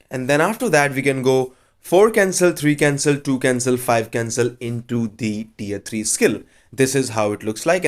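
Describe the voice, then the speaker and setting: A man with a cold hosting a casual game walkthrough, chatty and blunt with offhand verdicts. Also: medium pitch. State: has a cold. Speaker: gaming channel host.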